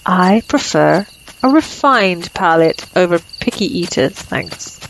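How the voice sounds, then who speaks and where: A woman's voice: on the low side, animated, slow, a little hiss or hum, low-quality recording. Woman acting a teasing female vampire, night insects behind. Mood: smug, teasing. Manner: teasing, sardonic, taunting. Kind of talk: ASMR roleplay acting.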